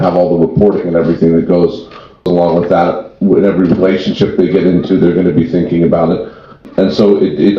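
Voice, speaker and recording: A man speaking off the cuff as an expert panelist, explaining at length and often restarting his phrases. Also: sits low. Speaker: tax and wealth planning founder, panelist. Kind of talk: extemporaneous panel discussion. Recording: very echoey room, dull sound.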